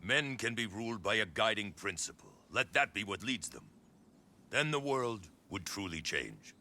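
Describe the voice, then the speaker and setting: A man's voice: on the low side, sing-song, moderate pace, pushed and bright, exaggerated voice. An actor playing an ambitious male warlord in a video game cutscene, speaking with authority and probing persuasion. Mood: commanding.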